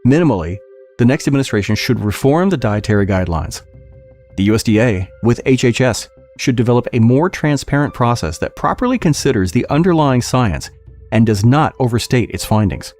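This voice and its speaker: Male narrator for document audiobook podcast. Lively, varied intonation, mid-range voice, faint music bed. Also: mid-range voice, a little fast. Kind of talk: scripted audiobook-style reading of a policy document.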